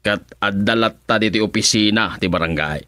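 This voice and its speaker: A man's voice: lowish voice, normal speed, pushed and bright, dry recording. A man with an Ilocano accent playing a cocky, teasing village councilman in a radio comedy-drama, full of exclamatory banter. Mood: sly, amused.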